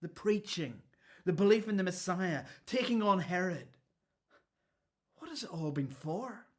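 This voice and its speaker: An actor playing an imprisoned prophet in a radio play, weary, testy and full of doubt, with a brooding delivery. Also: male voice, high-pitched, lively intonation, a little slow. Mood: anguished doubt.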